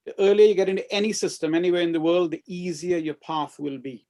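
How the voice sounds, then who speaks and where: Trinidad-raised doctor guy on a panel, slightly high voice, careful and hedgy. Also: steady tone, fast talker, warm tone. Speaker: surgeon panelist. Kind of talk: online panel discussion.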